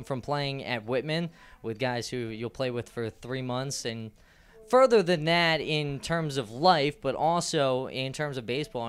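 A man's voice: medium pitch, clear, resonant tone. A young man with a handheld mic, hosting a postgame sports interview with long, rambling, upbeat questions. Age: young adult. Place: small indoor press backdrop.

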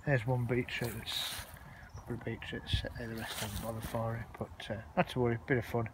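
A man's voice: mid-range voice, flat delivery, fairly quick. A man chatting informally and rambling as he narrates a casual walk-around vlog on a handheld phone outdoors. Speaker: allotment vlogger. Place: outdoors on an allotment, walking. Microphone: handheld phone held while filming. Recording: noisy outdoor phone recording.

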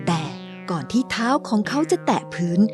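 A woman's voice: medium pitch, animated, moderate pace, over music. A woman reading scripted fairy tale narration for children.

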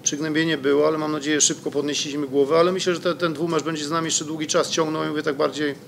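A man's voice: fairly high pitch, flat delivery, very fast, gravelly, a little hiss or hum. A middle-aged man, a football coach, answering reporters' questions at a press conference in a hesitant way, with many fillers and restarts, into a desk microphone. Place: press room in front of a sponsor wall.

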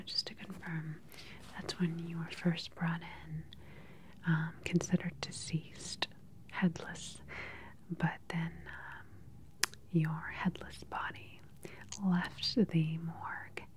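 A woman in her thirties whispering gently and methodically in an ASMR roleplay as a calm research doctor questioning a patient, close to the microphone. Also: low voice. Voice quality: breathy, unvoiced whisper.

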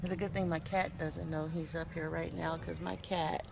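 A woman's voice: low-pitched, little pitch movement, normal speed, gravelly, noisy background. A woman giving a casual, chatty tour of her backyard garden, talking from the camera as she walks, with easy fillers and restarts.

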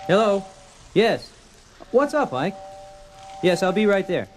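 An actor playing an eager, busy electrician in an audio drama. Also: male voice, sits high, sing-song, takes it slowly, faint music behind, heavily acted, low-quality recording. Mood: urgent. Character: eager, busy male power company electrician.

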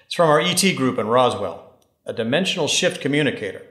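An actor playing an eager, determined government agent in an audio drama, urgent and businesslike. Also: male voice, medium pitch, expressive, quick pace, fairly bright tone, slightly rough. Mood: confiding. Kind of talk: scripted audio drama acting.

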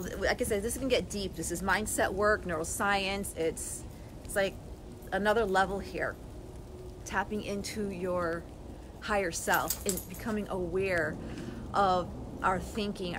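A woman in her forties giving an earnest, animated motivational talk to a phone camera on a lawn outdoors. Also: medium pitch, background noise. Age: 40s. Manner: earnest, animated, gestures with both hands. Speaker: life coach vlogger. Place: outdoors on a lawn by bare woods. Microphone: phone camera at arm's length.

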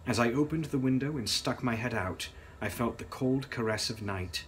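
A young man giving a measured, theatrical reading of gothic fiction as its author. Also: mid-range voice, a little hiss or hum, poor audio. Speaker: author reading own novel. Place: dark indoor room, on camera.

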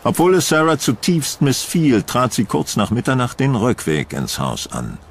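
A man narrating a scripted horror audio drama in a suspenseful, deliberate storytelling manner. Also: mid-range voice, wildly swooping pitch, husky.

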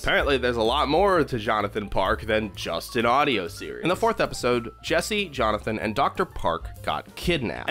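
A man's voice: medium pitch, fairly bright tone. A young man narrating a scripted video review in a casual way with wry asides, close to a studio microphone. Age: young adult. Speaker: YouTube reviewer. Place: small home room, indoors. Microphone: close studio microphone on boom arm.

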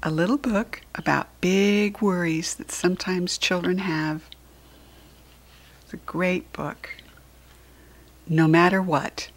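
A woman with an American accent, hosting and reading a storybook to young children with deliberate, expressive enunciation. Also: on the low side. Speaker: children's storybook reader and host.

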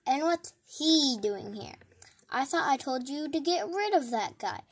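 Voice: sits high, animated, measured pace, slight background noise. A child voicing a pompous, bossy mayor who boasts about his power, in a storybook reading. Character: pompous bossy mayor, self-important man.

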